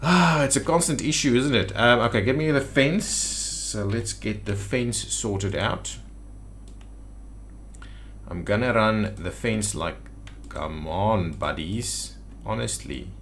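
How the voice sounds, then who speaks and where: A man with a fairly low voice hosts a gameplay video in a casual style. He thinks aloud and mutters to himself as he works at the computer. Faint background noise and keyboard clicks sit behind his voice. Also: slow, fairly bright tone. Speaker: gaming video host. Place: indoors at a computer.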